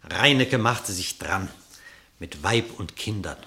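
A man narrates a verse story for a classic radio play. His voice sits fairly low, and he tells it expressively in measured, dramatic phrases. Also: expressive, vocal fry, sharp tone.

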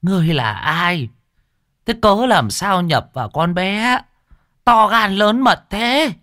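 A man's voice: high-pitched, lively intonation, slow pace, sharp tone, dry recording, clean studio sound, pitched above the performer's own voice, heavily acted. A man doing a fierce shaman woman scolding. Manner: scolding, commanding. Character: stern village shaman woman, commanding, fierce.

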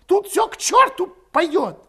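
A man voicing a hot-headed, scornful and excitable young revolutionary in an audiobook, ranting and snapping in rustic dialect. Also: extremely high pitch, normal speed, vocal fry, pitched above the performer's own voice, exaggerated voice. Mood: bitter, scornful. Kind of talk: audiobook character voice.

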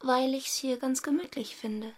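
An actress playing a devoted, composed young wife in a radio drama, speaking in a measured, intimate, reflective way. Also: female voice, sits high, steady tone, crowd noise. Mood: calm, gently teasing. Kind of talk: literary radio drama, first-person narration and dialogue.

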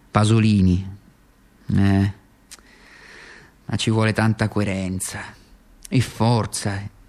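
An actor playing a tormented, self-accusing worn-out communist in a theatrical radio monologue, halting and repeating phrases for emphasis. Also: male voice, mid-range voice. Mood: bitter, reflective.

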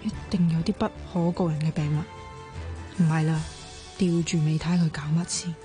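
A woman's voice: low voice, steady tone, normal speed, lightly acted. An actress playing a nosy, anxious young woman muttering to herself in a Cantonese radio drama, with a Hong Kong accent. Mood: suspicious, curious. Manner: muttering thoughts aloud to herself. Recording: music under the voice.

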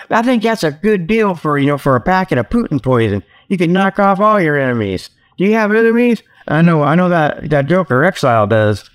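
High-pitched folksy man rambling comically. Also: clean tone. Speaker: host of a comedy talk show. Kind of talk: rambling comic monologue with mock sales pitches.

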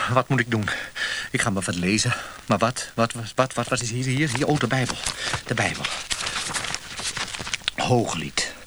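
A man's voice: mid-range voice, sharp tone, slight background noise, heavily acted. An actor playing a flustered, lustful male customer in a comic radio play, blurting and repeating himself.

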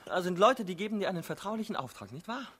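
An actor playing a high-strung, indignant young idealist in a German radio drama, nervy and theatrical. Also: male voice, big pitch swings, quick pace, noisy background. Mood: bitter, confiding.

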